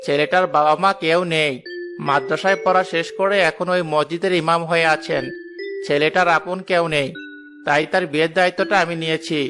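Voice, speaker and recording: A somewhat high male voice of an affable village matchmaker played by a man, selling matches in a cartoon tale. Clear music sits behind the speech. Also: fairly even intonation, a little fast, lightly acted. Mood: affable, persuasive. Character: affable village matchmaker praising prospective grooms. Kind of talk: cartoon story voice acting.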